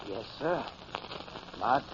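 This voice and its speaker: An actor playing a dutiful, anxious submarine lieutenant in an old radio drama, deferential and hesitant. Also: male voice, mid-range voice, expressive, very slow. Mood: uneasy, hesitant. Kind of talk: 1950s radio drama acting. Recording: noisy, muffled vintage radio recording.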